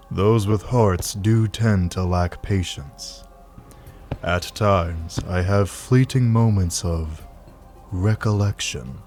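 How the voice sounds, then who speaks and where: A man acting a heartless villain with a very low, slow voice. He speaks formally and with cold detachment, choosing archaic words as in a dramatic game cutscene, with music underneath. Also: a little mellow. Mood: somber, detached. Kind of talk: scripted animated game parody dialogue.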